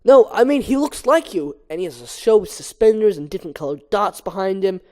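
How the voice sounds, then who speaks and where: A man's voice: high voice, sing-song, fairly quick, darkish tone. A man voicing a chatty, polite male cartoon bee in a casual, earnest way. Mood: insistent.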